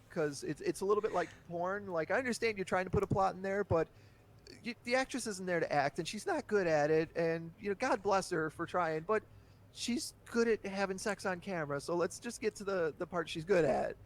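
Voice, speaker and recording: A man's voice: high-pitched, brisk. A man guesting on a podcast, talking candidly in casual chat and breaking into laughter easily.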